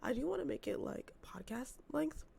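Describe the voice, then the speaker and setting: Hoarse, gravelly young woman rambling. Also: high voice, sing-song, moderate pace, mellow, in a whisper. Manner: rambling, filler-heavy, self-described yappy. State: losing her voice, hoarse. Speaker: vlogger. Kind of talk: casual unscripted vlog chat. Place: apartment.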